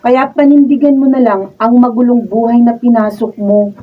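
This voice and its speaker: An actress playing a blunt, scolding young friend in a Filipino radio drama, preachy in manner. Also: female voice, on the high side, steady tone, normal speed, close to an everyday voice. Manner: preachy, chiding. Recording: muffled, distant mic.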